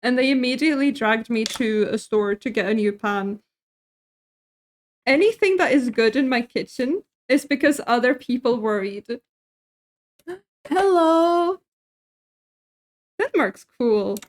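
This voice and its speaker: High-voiced woman streaming while cooking. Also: expressive, measured pace, pauses often. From Sweden. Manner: chatty, laughs often, answers chat messages. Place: her home kitchen.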